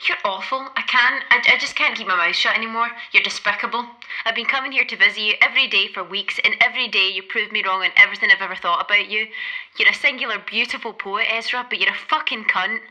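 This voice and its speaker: A woman's voice: mid-range voice, fast talker, bright, forceful tone, rough recording. An actress playing an outspoken, indignant young female poet in an audio play, blunt and confrontational. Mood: furious, hurt.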